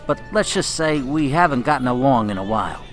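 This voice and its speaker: An actor playing a shrewd, proud Italian-American mafia boss in a radio drama, talking in a smooth, wry, streetwise way. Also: male voice, mid-range voice, animated, a little fast, close to an everyday voice. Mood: assured. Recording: music behind the voice.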